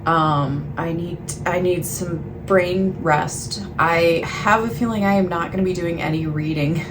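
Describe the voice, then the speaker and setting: Woman, lowish pitch, casual vlog chat, rambling, self-deprecating, trailing thoughts, noticeable background noise. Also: unhurried. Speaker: book vlogger. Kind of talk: casual chatty vlog talk to camera. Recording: clearly noisy, ambient background sound.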